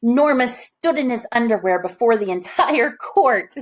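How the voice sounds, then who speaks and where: A woman's voice: fairly high pitch, animated, unhurried. A woman reading a children's picture book aloud, expressively, with chatty asides to young listeners. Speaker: storybook reader.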